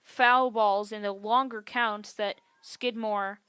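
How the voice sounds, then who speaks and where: A woman calling baseball for television as play-by-play announcer, in a measured, descriptive way. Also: mid-range voice, steady tone, a bit bright, clear, resonant tone, no echo, clean studio sound. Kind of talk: live TV sports commentary.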